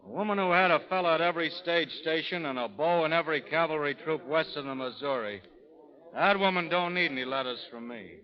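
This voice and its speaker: A man's voice: a little high, fairly quick, close to an everyday voice. Actor as a laconic old-West gunman, dry drawl. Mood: curt. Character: laconic drawling frontier gunman, grown man. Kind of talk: 1930s radio acting. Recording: muffled vintage radio recording, music behind.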